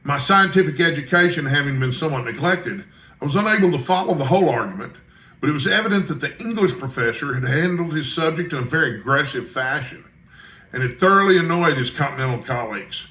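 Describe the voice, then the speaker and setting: A man reading a novel aloud as an amateur audiobook narrator, with expressive, scripted delivery. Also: on the high side, fast talker, roomy sound.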